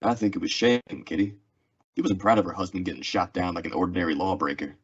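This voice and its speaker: A man's voice: sits low, fast talker, husky. A man acting a weary, stoic frontier marshal in an old-time radio western drama. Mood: calm, stoic. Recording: dull, lo-fi audio.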